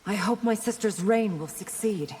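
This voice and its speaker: A woman's voice: medium pitch, normal speed, darkish tone, close to an everyday voice. Woman as proud princess fighter, formal, questioning, video game, reverberant hall, faint noise. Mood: challenging. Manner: formal, pointed, regal.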